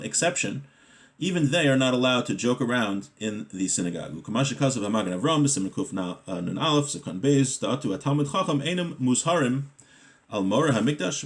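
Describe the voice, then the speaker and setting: A man giving a Torah lesson as a religious teacher, reading Hebrew text and explaining it conversationally in English, often checking in with a short question. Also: medium pitch.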